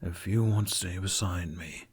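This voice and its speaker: A man voicing a stern, gruff, protective father who is a king in a fantasy story. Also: low voice, measured pace, exaggerated voice. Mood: tender. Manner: scolding, commanding, protective.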